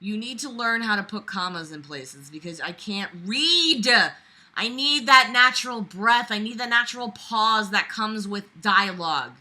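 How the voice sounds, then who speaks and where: Bright, sharp-voiced, exasperated woman. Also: mid-range voice, lively intonation, unhurried. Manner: sarcastic, theatrical, sweary, exasperated. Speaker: host reading amateur fiction aloud and reacting. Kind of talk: comedic dramatic reading with exasperated commentary.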